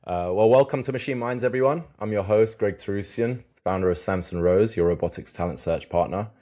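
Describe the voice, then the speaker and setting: Deep-voiced male podcast host. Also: fairly quick, mellow. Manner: friendly, chatty, with filler words. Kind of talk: casual interview hosting.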